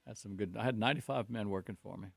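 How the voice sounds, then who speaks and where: Gravelly older man, low voice. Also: rapid-fire, no echo. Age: 60s. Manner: rambling storytelling with many restarts and fillers; speaks openly about faith. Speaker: guest, construction company owner. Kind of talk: casual talk show conversation.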